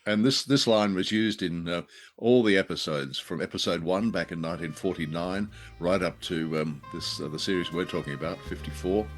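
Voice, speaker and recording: A man's voice: low voice, normal speed, faint music behind. A man chatting casually and jokily as co-host of a review show, fond of playful wordplay.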